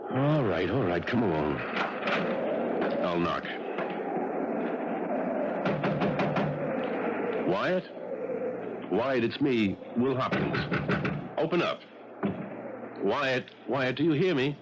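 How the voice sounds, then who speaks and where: An actor in a 1970s radio drama playing an earnest, stubborn husband, insistent and agitated, halting when troubled. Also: male voice, mid-range voice, expressive. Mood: urgent. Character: earnest, stubborn husband worried for his friend. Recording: muffled, very noisy old radio broadcast.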